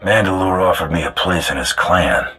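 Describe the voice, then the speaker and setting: An actor playing a tough, laconic bounty hunter with a gravelly, hard-edged voice in a video game. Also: male voice, sits low, moderate pace, pushed and bright, faint music behind. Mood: gruff, resolute. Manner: terse, cool. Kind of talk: video game cutscene acting.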